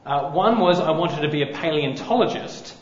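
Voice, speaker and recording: A man preaches with a loud, easygoing, self-deprecating voice, pitched a little high for a man. He speaks in a large church hall that rings with long reverb, and the sound is slightly dull. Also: expressive, moderate pace. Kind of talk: wedding-day sermon.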